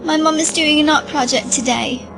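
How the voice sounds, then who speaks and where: A woman's voice: sits high, normal speed, music in the background. A woman playing a timid, earnest young girl in an audio drama, with a hesitant, childlike and plaintive manner. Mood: uneasy.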